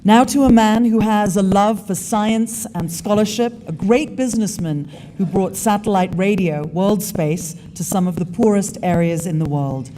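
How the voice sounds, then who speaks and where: A woman's voice: mid-range voice, moderate pace, few pauses, warm tone, a little hiss or hum. A woman serving as master of ceremonies, giving a polished, ceremonial introduction of the next speaker at a conference. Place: large conference hall.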